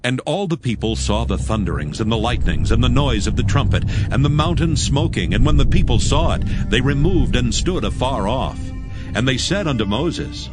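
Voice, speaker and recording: A man narrates scripture in a steady, measured storytelling voice. His pitch sits mid-range for a man. Loud music runs underneath the whole reading.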